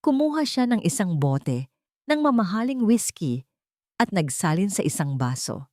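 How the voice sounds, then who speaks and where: A woman's voice: mid-range voice, big pitch swings, moderate pace, dark tone, no echo, studio quality. A woman reading a dramatic romance story as a scripted narrator. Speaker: audiobook-style story narrator.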